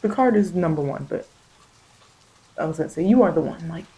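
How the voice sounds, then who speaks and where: A woman giving a soft ASMR tarot reading, speaking in a halting, repetitive, reassuring way as she offers spiritual guidance. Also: low voice, expressive, poor audio. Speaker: tarot reader.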